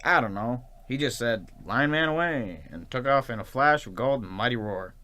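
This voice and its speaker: An easygoing, dim cop, with an average-pitched male voice. He is oblivious and nonchalant, in comic radio play style. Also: animated, sharp tone. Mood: oblivious, casual. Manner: slow-witted, nonchalant. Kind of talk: comic radio drama acting.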